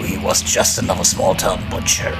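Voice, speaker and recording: An actor playing a gleefully ghoulish old storyteller who narrates a horror audio drama in a macabre, mock-sinister manner. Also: male voice, low-pitched, little pitch movement, normal speed. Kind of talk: theatrical horror anthology narration. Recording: roomy echo, distant, loud music underneath.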